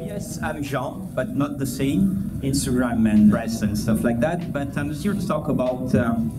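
A man's voice: mid-range voice, lively intonation, a little slow. A middle-aged man with a French accent gives an informal, joking talk on a conference stage, telling personal stories. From France. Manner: conversational, joking. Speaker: conference speaker, entrepreneur and endurance athlete. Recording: music bed over stage talk. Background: clear, loud music.

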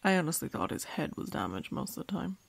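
A woman's voice: fairly low pitch, sing-song, fast, gravelly, breathy edge, exaggerated voice. A woman playing a sly, mocking female warrior in an audio roleplay, sardonic and taunting.

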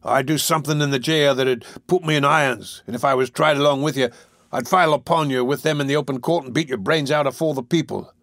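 A man voicing a violent, hulking housebreaker in a Victorian storybook, with a blunt, rough, threatening manner. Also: medium pitch, normal speed, few pauses, a bit bright, no echo, studio quality. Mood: savage threatening. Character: brutish, huge, violent male housebreaker, impatient and threatening. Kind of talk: dramatised audiobook of a Victorian novel.

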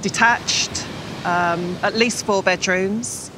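A woman's voice: medium pitch, fairly even intonation, takes it slowly, background noise. A middle-aged woman house hunting with her husband, talking eagerly and upbeat in casual chat outdoors. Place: outdoors by a beach. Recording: music bed under speech.

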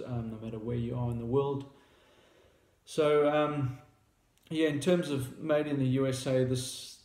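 A man's voice: medium pitch, dark tone, roomy sound. A man in his thirties with a South African accent, a company founder explaining a product in casual talk to camera, hesitant with many fillers and restarts. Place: small indoor room at a table.

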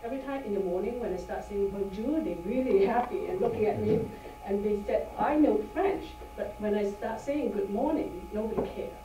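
A woman whose first language is Cantonese gives a halting impromptu speech. She is heard from far off across an echoey meeting room. Steady background noise sits under her voice. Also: medium pitch. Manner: halting, with fillers and light laughs. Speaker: club member giving an impromptu speech. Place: meeting room with long tables. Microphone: far camera.

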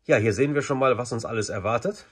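Slightly husky man, chatty video reviewer. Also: medium pitch, quick pace, fairly bright tone. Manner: chatty, enthusiastic fan talk. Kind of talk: casual unboxing review to camera.

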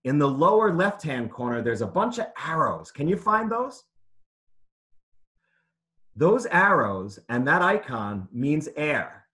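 Animated, higher-pitched man reading to children. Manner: clear, simple teacherly phrasing with questions to listeners. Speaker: author reading aloud to children. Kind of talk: interactive children's read-aloud lesson.

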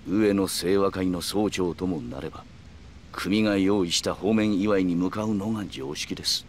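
An actor playing a calm, calculating middle-aged yakuza man who speaks in polite, measured, formal phrases in a video game. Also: low voice, a little hiss or hum, natural voice. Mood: measured, insinuating. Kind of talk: Japanese video game cutscene acting.

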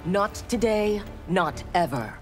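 A woman's voice: mid-range voice, lively intonation, slow. A woman playing a proud, cold female ninja warrior and engineer in a video game, speaking in curt, threatening lines. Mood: defiant confidence. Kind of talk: video game fight intro dialogue. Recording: music behind.

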